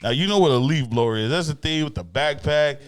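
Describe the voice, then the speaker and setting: A man's voice: fairly high pitch, quick pace, clean tone, dry recording, studio quality. A man hosting a casual chat interview in a laid-back, slangy way with some easy swearing. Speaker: interview show host.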